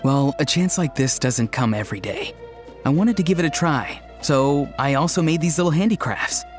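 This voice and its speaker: A man's voice: a little high, animated, moderate pace, over music, lightly acted. Actor as an earnest, easygoing young tribesman. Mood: earnest, eager. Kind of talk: video game character acting.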